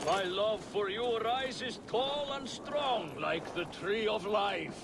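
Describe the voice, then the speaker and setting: An actor playing a lusty, boastful and excitable young Viking husband in a video game. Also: male voice, sits high, slow, heavy background noise, exaggerated voice. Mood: amorous.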